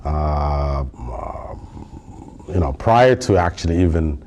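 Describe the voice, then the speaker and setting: This is a deep, low-pitched man from Somalia whose first language is Somali. His tone is dark and mellow. He speaks as a podcast guest in relaxed chat, often pausing on fillers and breaking into laughs. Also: vocal fry, a little hiss or hum. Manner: hesitant, many fillers, laughs easily. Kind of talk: casual interview conversation.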